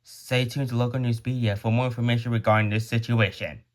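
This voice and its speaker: A man's voice: medium pitch, flat delivery, fast talker. A man reading scripted emergency alert narration in a formal, solemn announcer manner.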